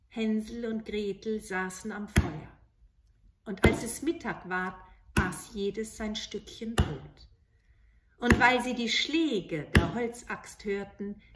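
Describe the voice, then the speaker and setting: A middle-aged woman tells a children's fairy tale in an expressive storytelling style. Her pitch is medium. She is recorded at home, with noticeable room echo. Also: heavy background noise. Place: living room with bookshelves.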